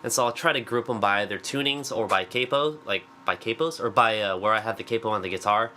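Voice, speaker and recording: A man's voice: fairly low pitch, fairly quick, pushed and bright, a little hiss or hum. Congested man rambling over guitar. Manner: rambling, self-deprecating, lots of fillers. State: congested from a cold. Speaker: singer-songwriter. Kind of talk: casual vlog talk. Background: acoustic guitar.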